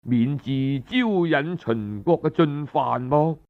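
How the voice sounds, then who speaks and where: Man as a cautious Cantonese court adviser, slow. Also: medium pitch, phone-quality sound, pitched below the performer's own voice. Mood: worried, admonishing. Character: cautious court tutor, a man, earnestly advising his prince.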